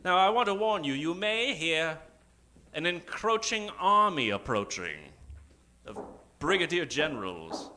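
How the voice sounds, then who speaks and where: A man hosting as a theatrical mock old-time radio announcer, speaking dramatically with suspenseful pauses and sudden emphasis. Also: a little high, very animated, sharp tone, slight background noise. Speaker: announcer and host of an improvised radio show. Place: theater stage. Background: live keyboard music.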